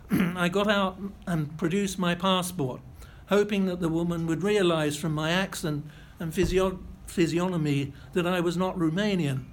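An older man giving a lecture, reading out a first-hand account in a measured, precise way with a few self-corrections. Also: sits high, fairly even intonation. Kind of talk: reading a prepared memoir-style lecture.

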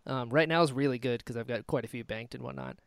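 A man from North Carolina hosting a podcast, chatty and quick to laugh, tossing "dude" into his casual interview talk. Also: medium pitch, creaky, smooth, no echo, studio quality. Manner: chatty, laughs easily, says "dude" a lot. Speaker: podcast host.

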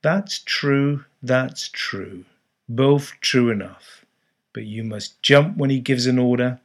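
A man doing a husky, ominous old sailor. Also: medium pitch, slow, pitched above the performer's own voice. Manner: earnest, cryptic, ominous. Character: ragged old male sailor, eerie and insistent. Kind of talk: audiobook character voice.

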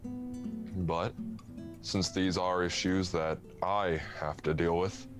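A man acting an earnest, awkward, apologetic man in a comedy radio play, speaking haltingly. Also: sits low, level tone, faint music behind. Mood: earnest, grateful. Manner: halting, hesitant.

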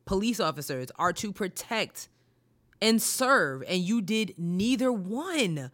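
A woman co-hosting a casual news chat, speaking emphatically and slowing down to stress her indignant points. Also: medium pitch, lively intonation, a bit bright. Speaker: podcast co-host.

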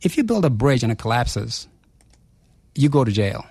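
A man, a guest in a radio interview, arguing forcefully with rhetorical questions and repeated phrases. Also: medium pitch, sing-song, normal speed, husky, dry recording. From South Africa. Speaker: chief investment officer, guest.

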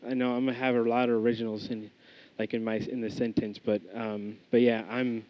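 A young man preaches in a loose, conversational way, often restarting his sentences and padding them with fillers. His voice sits in an ordinary middle pitch. The recording is slightly muffled and dry, with little room sound. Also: little pitch movement, brisk, no room sound. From United States. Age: young adult. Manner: casual, rambling, many fillers and restarts. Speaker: student preacher. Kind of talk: informal sermon with prayer and testimony.

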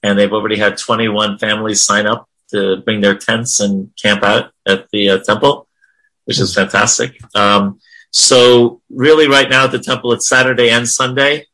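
Guy leading a casual spiritual class, bright forceful voice on the low side, encouraging. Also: level tone, normal speed, low-quality recording. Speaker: religious class leader. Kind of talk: informal spiritual class discussion.

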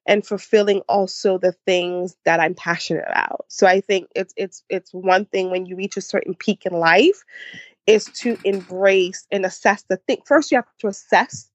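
A woman in her forties with a Haitian accent talks as a podcast guest, thoughtful and circling back as she finds her words. Her voice sits at a middle pitch. The sound is dry and a little dull. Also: normal speed, no echo. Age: 40s. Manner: reflective, with many restarts and laughs. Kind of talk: casual interview chat.

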